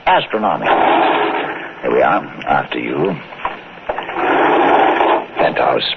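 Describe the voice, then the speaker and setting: A man's voice: rumbling low, sing-song, slow pace, gravelly, heavily acted. A man playing an officious aide who admires his boss in a radio drama, talking emphatically and repeating words with relish. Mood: admiring. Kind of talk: 1950s radio drama acting. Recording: tinny, noisy 1950s radio recording.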